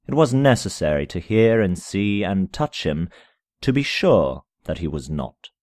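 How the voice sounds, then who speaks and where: Low-voiced man solemnly narrating gothic horror. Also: sing-song, a little slow, a little mellow, no echo, clean studio sound. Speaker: amateur reader of a short story. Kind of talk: dramatic scripted narration of a gothic horror tale.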